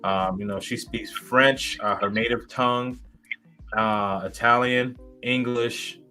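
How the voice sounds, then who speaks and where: A man's voice: medium pitch, steady tone, slow, sharp tone, clean tone, faint music behind, low-quality recording. A man in his thirties, a guest in a casual interview, rambling with many fillers and restarts. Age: 30s.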